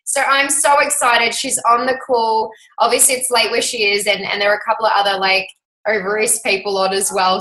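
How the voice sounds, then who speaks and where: Bubbly woman host on a video call, bright sharp voice, echoey room. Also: medium pitch. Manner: bubbly, gushing, fast asides. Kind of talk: casual motivational chat.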